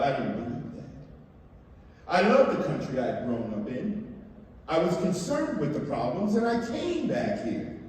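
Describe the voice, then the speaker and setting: A dignified male writer played by a stage actor testifies slowly in a big reverberant theater. His delivery is earnest, wounded and formally polite, with emphatic rises and falls like an orator's. The voice is mellow and distant. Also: fairly high pitch. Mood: impassioned. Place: library district theater stage. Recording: echoey theater, distant mic, faint noise.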